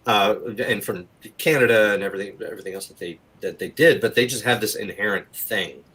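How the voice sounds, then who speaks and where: A man's voice: on the low side, brisk, a bit bright, husky. A man chatting casually as a guest in an interview. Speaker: guest actor and producer. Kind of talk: casual chat.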